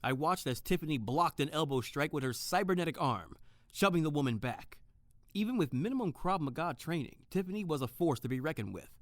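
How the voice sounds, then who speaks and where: Woman as a low-voiced soft-boiled detective narrator. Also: lively intonation, a little fast, slight background noise. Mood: tense storytelling. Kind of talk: scripted first-person detective narration.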